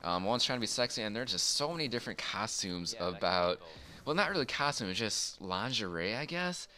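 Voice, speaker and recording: A man's voice: on the low side, lively intonation, normal speed, bright, forceful tone. A young man rambling casually through a personal story in an informal, crude, amused way. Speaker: storyteller in a gaming video. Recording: faint background noise.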